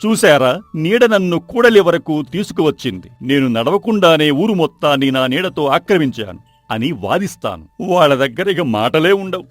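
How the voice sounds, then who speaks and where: A man voicing a proud, scheming rich townsman in an animated moral story, boastful and taunting. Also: on the high side, moderate pace, dry recording. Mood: gloating, scheming. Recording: background music under the voice.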